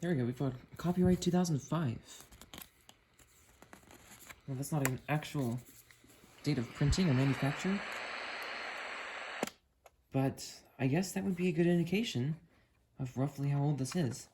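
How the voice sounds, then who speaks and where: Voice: medium pitch, moderate pace, pauses often, warm tone. A vlogger thinking aloud in a casual, musing way while demonstrating a gadget hands-on. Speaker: vlogger reviewing a radio. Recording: noisy home video, radio static behind. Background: shortwave radio hiss and whining.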